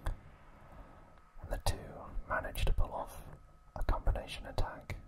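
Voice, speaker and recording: Slow, breathy ASMR whisper. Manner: soft, gentle, unhurried storytelling. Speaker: ASMR video host. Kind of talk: whispered ASMR trivia recap.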